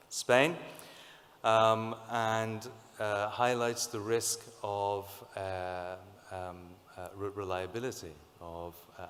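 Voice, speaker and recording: A man with a sore throat giving a technical conference presentation, speaking in a halting way with many fillers and restarts. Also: fairly low pitch, a bit bright. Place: conference hall. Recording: reverberant hall.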